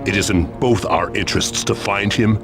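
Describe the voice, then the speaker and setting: An actor playing an imposing, calculating crime boss in a video game, speaking formally with measured authority. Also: male voice, fairly low pitch, creaky, loud music behind the voice. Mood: commanding, calculating. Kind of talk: video game cutscene acting.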